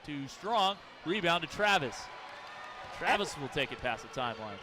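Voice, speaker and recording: A man calling live basketball play-by-play for radio in clipped descriptive phrases, quick to get excited. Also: a little high. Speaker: play-by-play announcer. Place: courtside in an arena. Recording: noisy gym with bouncing balls. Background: basketball game arena noise.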